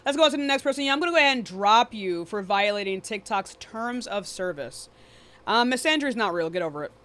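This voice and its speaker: A woman's voice: medium pitch, animated, fast, fairly bright tone. A woman hosting a live debate stream in a casual, blunt, dismissive and often profane way. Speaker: livestream debate host.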